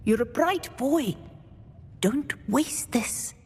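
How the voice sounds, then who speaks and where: A stern female schoolteacher from a fantasy game. Her reproachful, measured voice is high and swings widely in pitch. It rings through a hall with faint noise behind it. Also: animated, darkish tone, slightly rough, full character voice. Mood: disappointed coaxing. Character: stern, disappointed female school staff member scolding a pupil. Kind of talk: scripted video game acting.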